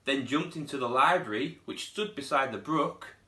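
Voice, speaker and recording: A man reads to young children like a schoolteacher, with expressive storytelling. His voice is bright and forward, at a middling pitch, and the room around him is noticeably echoey. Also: moderate pace. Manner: expressive, putting on character voices. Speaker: primary school teacher reading to pupils. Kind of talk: children's storybook read-aloud.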